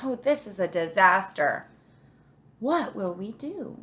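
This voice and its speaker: A woman voicing excitable little paint splatters and scribbles from a children's storybook in a plaintive, childlike way. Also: mid-range voice, slow, a little hiss or hum. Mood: despairing.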